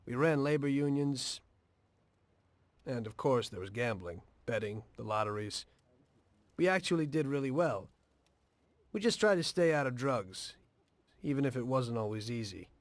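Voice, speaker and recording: An actor playing a weary, pragmatic middle-aged mobster in a video game, plainspoken and measured. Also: male voice, medium pitch, expressive, no room sound, close to an everyday voice. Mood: reflective.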